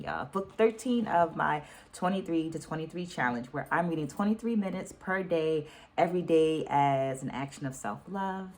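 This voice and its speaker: A middle-aged woman talking to viewers in a reflective book vlog, speaking articulately and deliberately, repeating phrases for emphasis. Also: sits low. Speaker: book reading vlogger, dancer and educator. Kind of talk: reflective book-takeaway vlog, semi-scripted talk to viewers.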